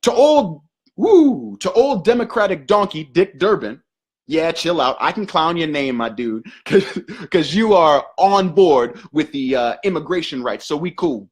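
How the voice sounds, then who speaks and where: Young male host, husky high voice, punchy rhyming rants full of slang. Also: expressive, measured pace. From Northern Virginia, USA. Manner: punchy, rhyming delivery with slang and emphatic shifts. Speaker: show host. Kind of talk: scripted comedic commentary.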